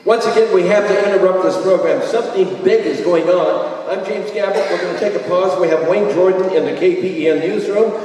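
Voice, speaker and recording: An actor playing an urgent radio station host breaking into a programme in a radio play. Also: male voice, sits high, brisk, hardly any pauses, darkish tone, natural voice. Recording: very echoey distant hall, faint music.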